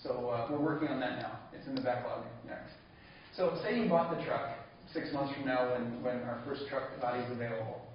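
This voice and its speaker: A man's voice: mid-range voice, brisk, a little hiss or hum. A man giving an enthusiastic conference keynote, laughing along with the audience at times. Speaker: keynote speaker. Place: large conference hall. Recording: echoey hall, lo-fi, from a distance.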